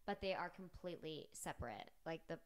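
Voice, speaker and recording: A woman's voice: sits low, expressive, a little fast. A young woman, a flight attendant, chatting casually in a couple's Q&A vlog, reading out questions and laughing often.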